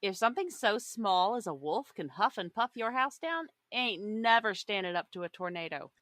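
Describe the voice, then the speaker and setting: A woman's voice: medium pitch, expressive, normal speed, a bit bright, no echo, studio quality. A woman co-hosting a podcast, chatting casually with wry, joking delivery. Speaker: podcast co-host. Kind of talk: casual comedic discussion.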